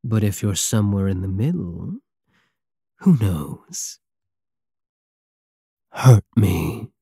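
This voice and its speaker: Man acting a slow, swaggering, obsessive pirate king. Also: fairly low pitch, sing-song, gravelly, warm tone, breathy edge, dry recording, clean studio sound, heavily acted. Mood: taunting. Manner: teasing, theatrical drawl. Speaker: audio roleplay voice actor. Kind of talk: intimate ASMR roleplay acting.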